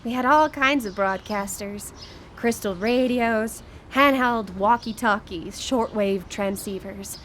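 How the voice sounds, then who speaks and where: A woman's voice: mid-range voice, slow pace, a bit bright. A woman acting a grieving, short-tempered widow in a live radio drama, speaking with emotional urgency. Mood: wistful. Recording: live radio broadcast with background noise.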